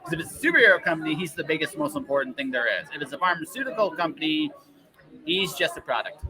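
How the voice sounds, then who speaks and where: A man with a fairly high voice hosts a casual chat. He speaks emphatically, doubling back on phrases to stress them. Other voices can clearly be heard in the background. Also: lively intonation, quick pace, a crowd in the background, low-quality recording. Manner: emphatic, repeats words and phrases. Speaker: podcast host.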